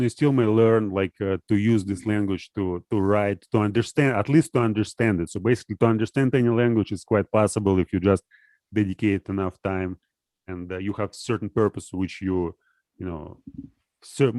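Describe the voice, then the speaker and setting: Halting man. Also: lowish voice, darkish tone, no echo. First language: Russian. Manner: hesitant, halting, searching for words. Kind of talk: casual philosophical discussion.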